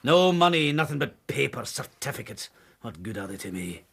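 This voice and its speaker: A man acting in a radio drama as a rough, sardonic whaling harpooner, gravelly and husky, with blunt sailor's talk. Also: medium pitch, very animated, moderate pace, fairly bright tone, exaggerated voice. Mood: defiant, wry.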